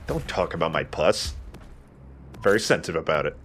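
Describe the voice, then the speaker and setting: A man's voice: extremely low pitch, very animated, moderate pace, a bit bright. A man giving casual, jokey commentary while he plays a video game, swearing now and then. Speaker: let's play host playing the game. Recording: game music behind the voice. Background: video game music.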